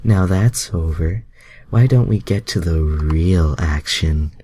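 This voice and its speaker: A man's voice: sits low, takes it slowly, dark tone, husky, heavily acted. A man voicing a flirtatious, confident mutant heroine, coy and teasing, for a fan fiction story.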